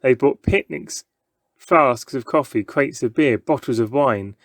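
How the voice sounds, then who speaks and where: A man's voice: medium pitch, a little slow, no room sound. A man narrating a children's book aloud from a script, with dramatic storytelling. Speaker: story reader.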